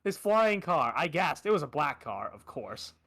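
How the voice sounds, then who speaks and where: A man's voice: a little high, sing-song, moderate pace, a bit bright, no room sound. A man reading fan fiction aloud on a stream in a comic style, amused and given to asides.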